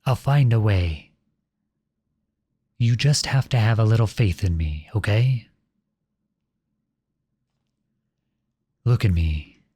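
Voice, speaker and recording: Man acting a low, husky outlaw prince. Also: animated, unhurried, warm tone, no room sound, clean studio sound. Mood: tender, reassuring. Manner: earnest, dramatic, addresses unseen listeners directly. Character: outlawed young prince, protective, noble, devoted to his beloved. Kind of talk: ASMR audio roleplay acting. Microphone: close studio-style microphone, dry.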